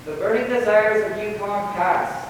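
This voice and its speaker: A man's voice: high voice, steady tone, moderate pace, natural voice. A young male actor playing an earnest, cheeky teenage schoolboy with flowery phrasing in a radio comedy. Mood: dreamy, florid. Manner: florid, theatrical phrasing. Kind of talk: 1940s-style radio comedy acting. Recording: echoey hall from far off, faint noise.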